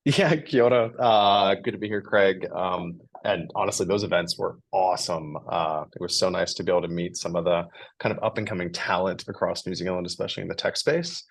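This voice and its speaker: A man in his forties with an American accent, a guest in a casual podcast interview, chatty and relaxed with many fillers. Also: deep voice, a little fast, gravelly, husky. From United States.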